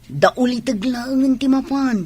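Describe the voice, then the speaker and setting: A woman's voice: high-pitched, unhurried, dark tone, breathy edge. A woman with an Ilocano accent playing a hopeful, devoted grown child in a radio drama. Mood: earnest.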